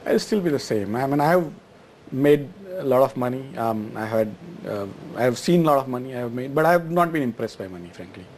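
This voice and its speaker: A man's voice: medium pitch, animated, fast talker, slight background noise. A middle-aged man from Gujarat, answering interview questions with many fillers and restarts. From Gujarat, India. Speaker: guest, exchange chief executive.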